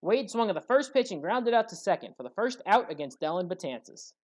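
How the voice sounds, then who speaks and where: Animated male sports highlights commentator. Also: fairly quick. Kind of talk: scripted play-by-play highlights narration.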